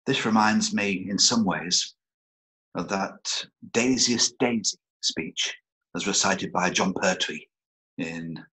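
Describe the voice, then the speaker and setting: Slow, bright-voiced man, deliberate. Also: fairly low pitch, poor audio. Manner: halting, deliberate. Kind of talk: introducing a dramatic reading.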